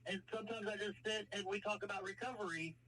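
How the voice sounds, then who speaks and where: A woman on a casual phone call, explaining her situation in a halting way. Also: medium pitch, fairly even intonation, a bit bright, a little hiss or hum. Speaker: caller seeking work.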